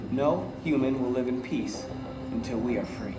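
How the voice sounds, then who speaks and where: Casting: male actor as grave android rebel leader delivering a broadcast manifesto, game. Echoey, firm, oratorical, loud score behind. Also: medium pitch, normal speed, lightly acted. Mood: solemn defiance. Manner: declamatory, resolute. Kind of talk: broadcast speech in video game drama. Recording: echoey broadcast message under loud music.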